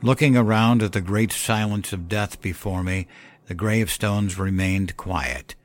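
A man narrating a scripted first-person horror story as a weary, wistful old man, in a measured, solemn and dramatic way. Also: fairly low pitch, no echo. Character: weary, wistful elderly man telling his ghostly tale. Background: music under the voice.

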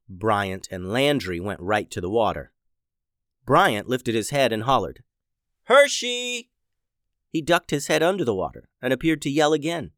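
A man's voice: mid-range voice, fairly bright tone, no room sound, clean studio sound. A man hosting and narrating a children's story podcast in a playful, silly manner, his voice a little scratchy.

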